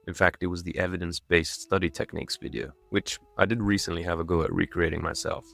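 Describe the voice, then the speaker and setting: A man's voice: low voice, flat delivery, fast, gravelly, fairly bright tone. A man in his twenties, raised in Dubai, delivering a scripted personal narration in a lightly self-mocking, tongue-in-cheek manner. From born and raised in Dubai in a Croatian family, moved to England as a child. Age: 20s. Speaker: video creator.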